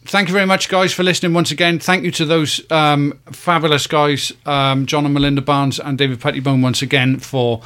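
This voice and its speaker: A man hosts a podcast in a loose, rambling way, full of ums and restarts. He thanks his listeners and cracks dry jokes. His voice sits at an ordinary middle pitch. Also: a bit bright. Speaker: podcast host. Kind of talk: casual chat.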